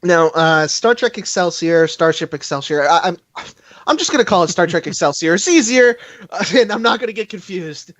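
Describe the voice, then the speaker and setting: Higher-voiced man, halting and chatty. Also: expressive, fairly bright tone, dry recording, muffled recording. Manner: halting, rambling, chuckles often. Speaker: live stream host. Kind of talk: casual fan podcast chat.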